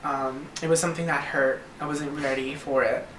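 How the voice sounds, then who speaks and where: A young man from the US South with an American accent, talking informally and a little haltingly about himself in a candid documentary interview. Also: mid-range voice, little pitch movement, a bit bright. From US South, Virginia and North Carolina. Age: young adult. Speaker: interviewee, model. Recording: distant roomy mic, faint noise.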